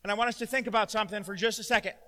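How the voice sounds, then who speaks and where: A middle-aged man preaching a sermon as pastor, loud and emotional, with self-deprecating humor. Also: high-pitched, steady tone, very fast. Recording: long hall reverb.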